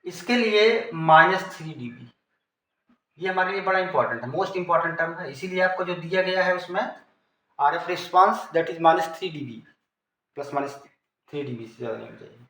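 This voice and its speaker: Indian guy teacher, kinda high voice, explaining stuff step by step and repeating himself, echoey room. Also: lively intonation, normal speed, fairly bright tone. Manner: didactic, repeats and rephrases phrases. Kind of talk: informal technical lecture with step-by-step explanation. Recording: echoey room with faint background noise.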